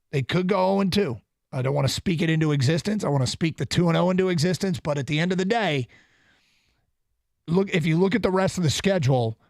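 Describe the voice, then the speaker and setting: A man talking sports on a radio show, his voice a little high and his speech animated, with frequent restarts. The studio sound is dry and close, with no room around it. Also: no room sound. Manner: animated, restarts often, laughs. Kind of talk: casual sports talk.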